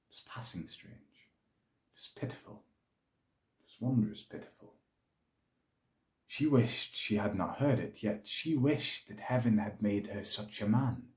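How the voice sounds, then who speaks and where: A man acting as a proud soldier pleading his case in Shakespearean verse. The voice is low-pitched and the delivery is measured and rhetorical, with formal eloquence. It rings in a very echoey room. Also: lively intonation, darkish tone. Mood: reminiscing, absorbed. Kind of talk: Shakespearean dramatic monologue.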